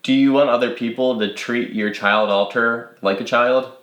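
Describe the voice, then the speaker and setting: A man asking calm, direct interview questions. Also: medium pitch, moderate pace, roomy sound.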